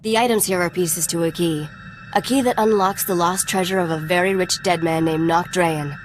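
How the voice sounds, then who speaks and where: A woman's voice: on the low side, steady tone, normal speed, faint music behind, close to an everyday voice. A woman playing a shrewd, self-assured young smuggler in a video game, cool and wry. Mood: persuasive.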